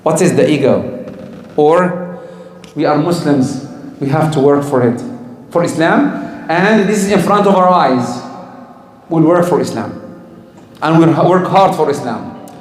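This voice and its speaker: A man's voice: a little high, a little slow, long pauses, a little mellow. A man giving a religious talk to a congregation, asking the listeners questions and answering them himself. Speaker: Islamic teacher. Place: community hall or mosque in Canada. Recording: echoey hall with long reverb.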